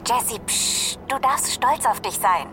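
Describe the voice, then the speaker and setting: A woman's voice: fairly high pitch, animated, slow, music in the background. An actress playing a female astronaut crewmate who teases the landing couple. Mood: teasing. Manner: playful teasing. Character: teasing female astronaut crewmate, warm and playful.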